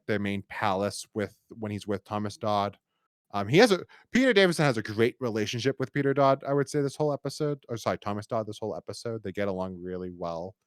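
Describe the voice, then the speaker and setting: A man's voice: fairly low pitch, clear, resonant tone, no echo, studio quality. A man hosting a podcast in a casual review discussion, rambling and often repeating words as he feels for the next phrase. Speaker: podcast host.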